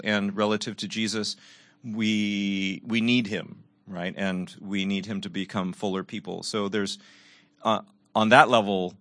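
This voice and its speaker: Male panel guest, low pitch, earnest and reflective, restarts his phrases, dry close mic. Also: a bit bright, dry recording. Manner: earnest, repeats words as he thinks.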